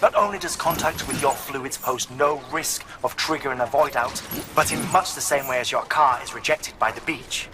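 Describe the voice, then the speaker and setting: An actor playing an earnest scientist in a game cutscene, speaking in a formal, articulate, awed way. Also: male voice, medium pitch, steady tone, moderate pace, few pauses, background noise, close to an everyday voice. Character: earnest male scientist marveling at a breakthrough. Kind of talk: dramatic video game cutscene acting.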